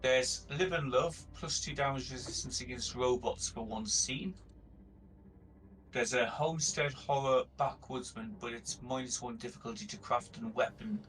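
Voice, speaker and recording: A man's voice: mid-range voice, normal speed, fairly bright tone, distant mic, slight background noise, rough recording. A man playing a tabletop game, casually tallying up items and bargaining.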